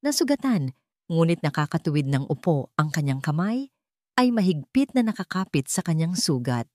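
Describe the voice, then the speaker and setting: Low-voiced Filipino woman narrating drama. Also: big pitch swings, normal speed, darkish tone, dry recording, clean studio sound. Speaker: audio story narrator. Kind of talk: scripted dramatic story narration.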